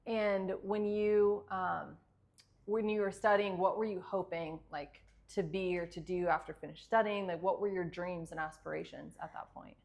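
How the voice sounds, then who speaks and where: A woman interviewing a guest, asking gentle follow-up questions in a casual way. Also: on the low side, steady tone, normal speed, vocal fry.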